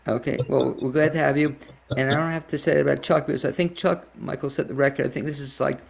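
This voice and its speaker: A man's voice: medium pitch, fairly even intonation, quick pace, vocal fry. A man hosting a podcast, asking questions in a casual, conversational interview style.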